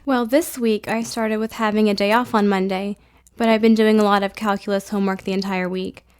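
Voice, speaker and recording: A woman's voice: mid-range voice, steady tone, a little fast, smooth. Teenage girl, mid-range voice. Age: teens. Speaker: student interviewee. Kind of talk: informal interview answers.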